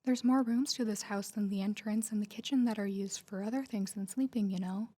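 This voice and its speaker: A woman performs a wealthy, self-assured girlfriend in an intimate roleplay audio drama. Her tone is mellow and relaxed at a middling pitch. She teases playfully and talks smoothly, like a young woman used to getting what she wants. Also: a little fast, natural voice. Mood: playful teasing. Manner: teasing, smooth-talking, playful.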